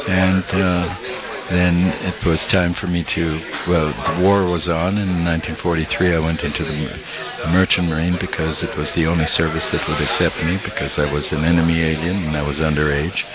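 Older man, Italy-born, deep, halting with lots of "uh", crowd in the background. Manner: halting, with many fillers and restarts. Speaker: guest, small press publisher. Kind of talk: interview conversation.

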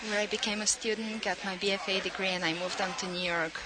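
A woman from Bulgaria, an artist, recounts her story in a calm, measured way. Her mid-pitched voice sits under a loud hiss. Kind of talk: radio interview guest.

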